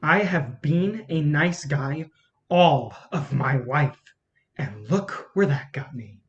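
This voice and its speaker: A woman's voice: sits low, expressive, slow pace, lo-fi sound. A woman playing a cunning, bitter male film distributor in a staged play reading, with a blunt, cocky manner. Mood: bitter, cutting.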